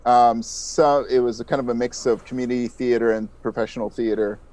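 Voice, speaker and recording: Middle-aged man, interview guest, voice actor, conversational, explanatory, enthusiastic, average pitch. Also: level tone, a little fast, a little mellow.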